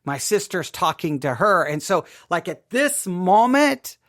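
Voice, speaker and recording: A man hosting an informal personal commentary, speaking emphatically in a halting, repetitive way. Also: high-pitched, sing-song, a bit bright. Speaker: podcast host.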